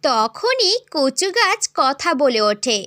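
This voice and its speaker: A woman's voice: high-pitched, big pitch swings, takes it slowly, fairly bright tone, no echo, studio quality. A woman giving scripted storybook narration for a children's folk tale.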